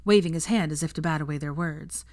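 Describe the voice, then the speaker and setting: A woman's voice: low voice, quick pace, a little mellow. A woman reading a story aloud as a narrator, in a scripted storytelling style. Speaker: audiobook narrator of fan fiction.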